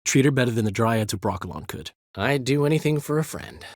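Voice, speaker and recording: A man's voice: mid-range voice, animated, brisk, no echo, clean studio sound. An actor voicing a flamboyant, charming and vain middle-aged male bard, with a theatrical, lilting delivery. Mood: wry. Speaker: game character voice. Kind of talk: game voice lines.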